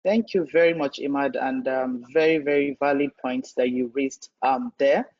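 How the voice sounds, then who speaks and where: A man moderating a panel discussion over a video call, speaking in a measured way with frequent "um" pauses. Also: mid-range voice, steady tone, no room sound. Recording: dry, muffled online call audio.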